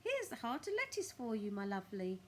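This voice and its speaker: A woman voicing a sweet, gushing widow in a children's storybook, with drawn-out, affectionate speech. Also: on the high side, wildly swooping pitch, darkish tone, slight background noise. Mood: doting. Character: sweet, gushing widow doting on her tortoise.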